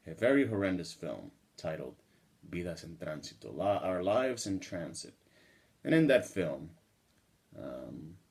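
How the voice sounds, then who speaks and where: A man's voice: deep voice, darkish tone. A man in his thirties from the Dominican Republic, talking straight to the camera from a small room and building an earnest, indignant argument. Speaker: vlogger. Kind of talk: direct-to-camera monologue. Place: small indoor room at a table.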